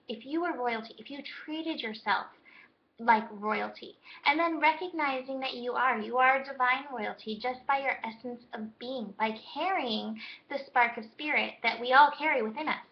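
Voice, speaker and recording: High-voiced hoarse woman astrologer. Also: muffled recording. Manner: gentle, reflective, spiritual. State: hoarse, losing her voice. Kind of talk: daily horoscope talk.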